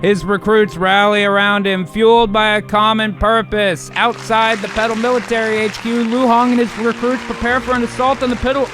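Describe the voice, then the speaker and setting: A man's voice: sits high, level tone, normal speed, hardly any pauses, over music. A man co-hosting and narrating an improvised radio drama, with loose, casual, bro-ish banter. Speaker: narrator and co-host.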